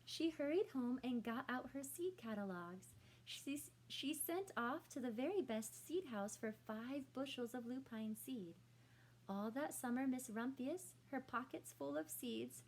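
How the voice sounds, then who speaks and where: Female teacher, fairly high voice, storybook read-aloud with explanatory asides to kids, faint hum behind. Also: a little mellow, poor audio. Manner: explanatory, inviting questions to children. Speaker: teacher reading aloud to children.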